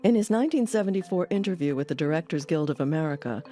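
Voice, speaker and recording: A woman's voice: low-pitched, expressive, fast talker, warm tone, faint music behind. A woman hosting an audio documentary, delivering scripted narration. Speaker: documentary host.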